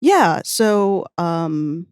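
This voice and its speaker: A woman's voice: lowish voice, very animated, very slow, a little mellow, clean tone, no room sound, clean studio sound. A woman chatting casually as a podcast guest, reminiscing in an enthusiastic, rambling, self-deprecating way. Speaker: podcast guest, audio fiction writer.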